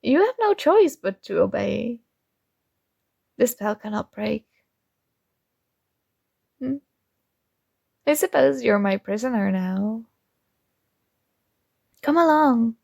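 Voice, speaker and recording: A woman voicing a possessive young princess in an ASMR roleplay, talking in a soft, slow, coaxing and teasing way. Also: fairly high pitch, expressive, gravelly, dry recording, studio quality, full character voice. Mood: sweetly commanding.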